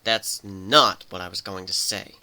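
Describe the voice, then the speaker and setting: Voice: deep voice, steady tone, unhurried, a crowd in the background. A performer voicing a nervous, earnest male pegasus pony who stammers and hesitates in a dramatic story reading. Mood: defensive. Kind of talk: dramatic fan fiction reading.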